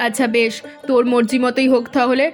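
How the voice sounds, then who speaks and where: A woman plays a village mother in a cartoon story, fond and practical. Her voice sits high, and she talks in a gentle, lightly scolding way, with faint music behind. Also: level tone, quick pace, lightly acted. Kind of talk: cartoon voice acting.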